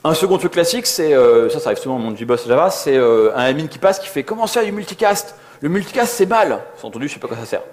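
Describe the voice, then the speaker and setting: A man's voice: on the high side, sing-song, fast talker, few pauses, a little mellow. A man giving an informal technical conference talk in a slangy, conversational way, often acting out little dialogues. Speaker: conference co-speaker, software developer.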